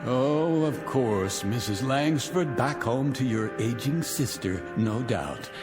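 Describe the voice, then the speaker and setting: A man's voice: medium pitch, lively intonation, takes it slowly. A middle-aged actor playing a vindictive rich man taunting his guests in 1960s television drama style, mocking and grandiloquent. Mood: sardonic, taunting. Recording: vintage TV soundtrack, reverberant, music behind.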